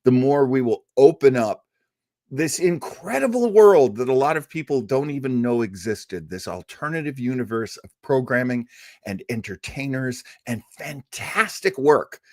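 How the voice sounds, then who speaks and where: A man's voice: mid-range voice, animated, normal speed, darkish tone, no echo, studio quality. A man hosting a casual live stream, talking in an enthusiastic, rambling way and acting out bits of conversation. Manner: enthusiastic, rambling, acts out quotes. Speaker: livestream host.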